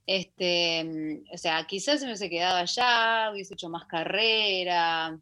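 A woman's voice: on the low side, unhurried, pushed and bright, clear, resonant tone. An Argentine woman, middle-aged, chatting as a guest in a radio interview about her stage career, full of fillers and restarts. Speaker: guest, musical theatre actress. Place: radio studio.

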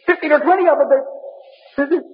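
High-pitched, nervous stammering man, old radio. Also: fast. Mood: panicked. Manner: stammering, hesitant. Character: nervous, gullible, easily panicked man trapped by conspirators. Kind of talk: old-time radio drama acting. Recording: dull old radio recording, loud music.

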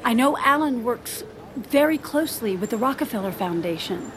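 An actress playing a polite, hesitant finance employee in a screen drama, speaking tentatively and placatingly. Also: female voice, on the high side, lively intonation, moderate pace, noisy background, close to an everyday voice. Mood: tentative, eager. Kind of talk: naturalistic screen drama acting.

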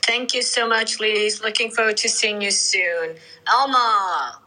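A woman hosting an online audio chat who moves between guests in a lively, teasing way. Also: mid-range voice, measured pace, poor audio. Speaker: host.

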